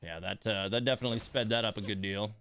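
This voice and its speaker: Low-voiced man casually commentating a game. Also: fast, slight background noise. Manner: thinking aloud, relaxed and informal. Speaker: game streamer and host. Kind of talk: casual live gameplay commentary.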